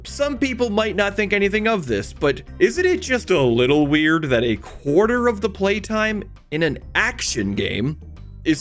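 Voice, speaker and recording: Man, video essay narrator, expressive pitch, conversational, wry, faint music behind.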